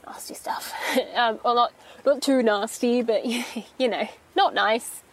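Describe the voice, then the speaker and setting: Actress as anxious young female shop employee, high voice, polite and rambling, hesitant naturalistic audio-fiction delivery. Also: takes it slowly. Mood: nervous, chatty. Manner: hesitant, rambling, nervous stammering and self-correcting.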